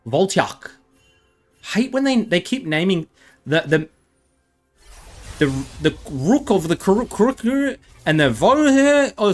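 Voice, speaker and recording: Chatty Australian man, rambling commentary. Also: on the high side. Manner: rambling, self-mocking, chatty asides. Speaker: gaming video creator. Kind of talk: casual gameplay commentary.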